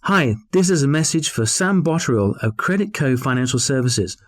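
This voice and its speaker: An actor playing a polite man leaving a phone message, spelling out names and numbers slowly and carefully. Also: medium pitch, expressive, lightly acted. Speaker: caller leaving a voicemail. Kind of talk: scripted listening-test dialogue.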